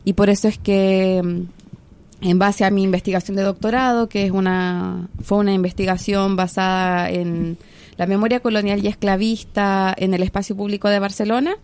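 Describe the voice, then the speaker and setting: A woman from Chile, a researcher and podcast guest, speaking in an earnest, measured and passionate way. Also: medium pitch, level tone, darkish tone, smooth. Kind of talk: podcast interview.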